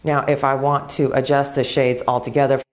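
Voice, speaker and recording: Very low-pitched woman giving a tech tutorial. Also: level tone, normal speed. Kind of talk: step-by-step product demo and tutorial.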